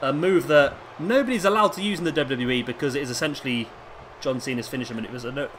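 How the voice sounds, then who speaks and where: A hoarse man with a sore throat giving casual play-by-play commentary as host of a wrestling game video. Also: mid-range voice, fast, fairly bright tone. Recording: clearly noisy home recording.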